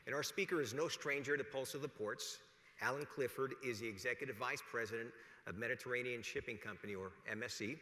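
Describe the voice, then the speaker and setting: American guy emceeing a conference from a script, formal and measured, crisp bright voice, very echoey hall. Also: mid-range voice. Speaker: conference host introducing a speaker. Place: large conference hall, podium.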